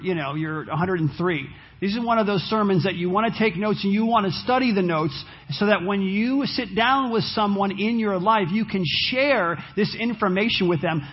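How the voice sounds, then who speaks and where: A man's voice: high-pitched, breathy edge, lo-fi sound. A man preaching a sermon as pastor, losing his voice, talking earnestly and restarting his phrases.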